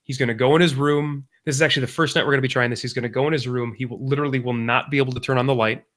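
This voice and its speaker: A young man co-hosts a casual podcast. His voice sits at an ordinary middle pitch. He talks in long, rambling stretches and often corrects himself mid-sentence. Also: fairly even intonation, very fast, fairly bright tone. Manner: rambling, self-correcting parent anecdotes. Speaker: podcast co-host. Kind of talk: casual chat.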